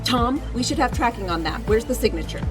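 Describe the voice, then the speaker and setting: A woman's voice: a little high, animated, fairly quick, natural voice. A woman acting as a cold military commander in an audio drama, clipped, authoritative and menacing. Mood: commanding. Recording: loud music over the voice.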